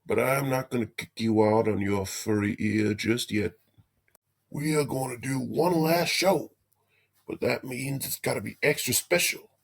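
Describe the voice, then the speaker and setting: A man's voice: medium pitch, measured pace, creaky, breathy edge. A man playing a folksy older animatronic beaver, a cheerily macabre father putting on a hammy stage show in an audio drama. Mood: jolly, brisk.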